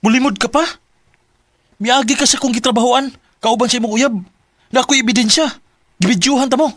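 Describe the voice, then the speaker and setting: A man's voice: very high-pitched, fairly even intonation, a little fast, a bit bright, no echo. A man with a Filipino Bisaya accent acting a lovesick, jealous young security guard in a radio drama, questioning insistently and emotionally. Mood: hurt, accusing.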